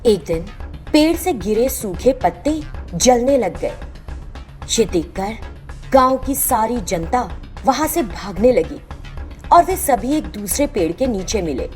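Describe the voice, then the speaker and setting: A woman's voice: a little high, lively intonation, slow, darkish tone, faint music behind. A woman narrating a scripted moral tale as the storyteller.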